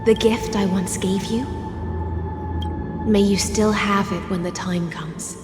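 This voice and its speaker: Actress for a solemn, resolute game heroine with unhurried poetic delivery; reverberant, music behind. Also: female voice, medium pitch, fairly even intonation, lightly acted. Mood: wistful. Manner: slow, lyrical, deliberate delivery. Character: solemn, tender mythic heroine, mournful and resolute. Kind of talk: poetic video game monologue. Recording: echoey long reverb under loud music.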